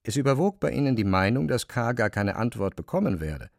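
A man's voice: mid-range voice, big pitch swings, a little fast, creaky, dry recording, clean studio sound. A man reading scripted literary narration as the narrator of an audio drama.

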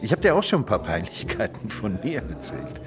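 A man's voice: on the low side, big pitch swings, fairly quick. An actor playing a wry, reassuring male confidant in a naturalistic radio drama. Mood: amused. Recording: prominent music underneath.

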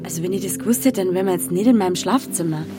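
A woman's voice: fairly low pitch, rapid-fire, loud music behind the voice, close to an everyday voice. A woman playing a cheeky, flirtatious village woman in a Bavarian dialect audio drama, teasing and blunt. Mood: brusque. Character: Marei, cheeky flirtatious village woman, quick-tongued and brusque.

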